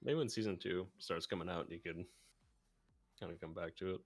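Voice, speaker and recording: Low voiced dude co-hosting a stream, just chatting, really dry sound. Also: male voice, fairly quick, dry recording, faint music behind. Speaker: livestream co-host. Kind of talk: casual chat.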